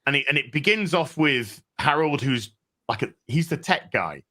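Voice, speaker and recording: Male stream host, bright tone, rambling chat, reads donations. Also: a little high, animated, moderate pace. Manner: rambling, frequent restarts. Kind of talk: casual livestream chat, reading donations.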